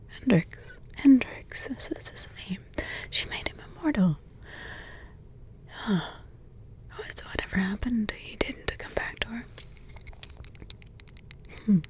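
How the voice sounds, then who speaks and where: A centuries-old witch, mother of a vampire, who gossips and teases in a hushed, unhurried whisper, as a woman plays her. The voice sits at an ordinary middle pitch and drifts along at a very slow pace. This is an intimate ASMR roleplay. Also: close to an everyday voice.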